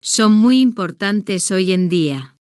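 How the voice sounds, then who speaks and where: Smooth, slow woman narrating for learners. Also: mid-range voice, animated, darkish tone, no room sound, studio quality. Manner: slow, deliberate, evenly paced short sentences. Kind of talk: slow scripted first-person story narration for beginners.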